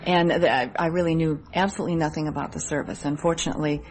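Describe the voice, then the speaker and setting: Low-pitched Midwestern American woman, earnest interviewee. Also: steady tone, fast, darkish tone, a little hiss or hum. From Midwest United States. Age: 30s. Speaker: interview guest, military wife. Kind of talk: radio interview, answering questions.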